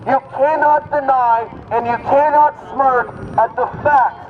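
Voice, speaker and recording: A man's voice: very high voice, fairly even intonation, unhurried. An American man giving an impassioned, emphatic political speech at an outdoor protest, declaiming through a megaphone. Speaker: protest speaker. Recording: muffled megaphone audio, clear outdoor street noise.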